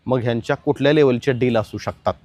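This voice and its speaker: A man's voice: mid-range voice, fast talker, darkish tone. A man presenting explanatory crime news commentary, emphatic and speaking straight to the audience.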